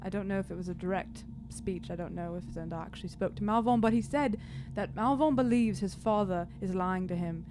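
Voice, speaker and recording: A woman's voice: mid-range voice, a little fast, music in the background. A woman playing a tabletop role-playing game, speaking casually as she describes and acts out her turns. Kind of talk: casual improvised game talk and banter.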